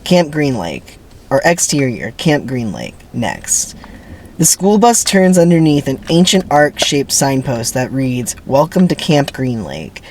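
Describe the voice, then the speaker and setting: Male narrator, screenplay reading, highly varied intonation, theatrical, wry, faint noise behind. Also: big pitch swings, measured pace, darkish tone.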